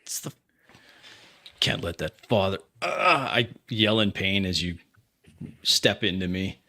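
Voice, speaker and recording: Man, lowish pitch, forceful bright tone, tabletop player, hesitant action descriptions. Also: lively intonation, slow pace. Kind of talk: describing his character's actions in a game.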